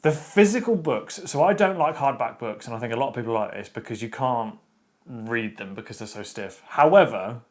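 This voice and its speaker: Blunt man, mid-pitched voice. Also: lively intonation, a little fast. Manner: blunt, opinionated. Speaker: book discussion show co-host. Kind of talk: casual opinionated chat about books.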